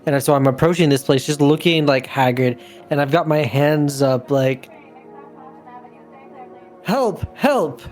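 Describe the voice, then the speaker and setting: A man's voice: mid-range voice, fairly even intonation, normal speed, few pauses, darkish tone, soft background music. A man chatting casually as a player in a tabletop game, describing what his character does.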